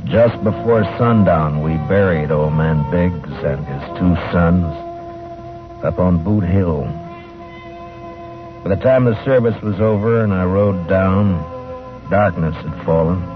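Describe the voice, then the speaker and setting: An American actor playing a stern, world-weary western marshal in terse, deliberate 1950s radio drama style. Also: male voice, low-pitched. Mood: grave, steady. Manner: terse, hard-bitten, deliberate. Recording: tinny old radio broadcast with music.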